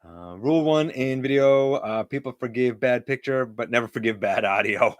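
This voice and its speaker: Loud-voiced man chatting on a livestream. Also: mid-range voice, measured pace, no echo, studio quality. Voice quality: loud voice that carries. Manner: chatty, reads out viewer comments and answers them. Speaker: livestream host; teacher. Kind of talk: casual live-stream chat with viewers.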